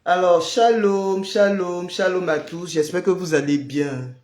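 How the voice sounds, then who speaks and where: A man's voice: sits high, fairly even intonation, a little slow, a little mellow, roomy sound, faint music behind. A man with the flu, leading a prayer livestream and chatting casually with viewers, greeting them by name. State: has the flu. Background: noise of building work.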